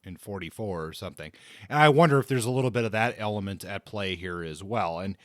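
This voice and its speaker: A man's voice: fairly low pitch, fast talker, dry recording, clean studio sound. A man co-hosting a book podcast in casual discussion, thinking aloud and often rewording as he goes. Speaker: podcast co-host.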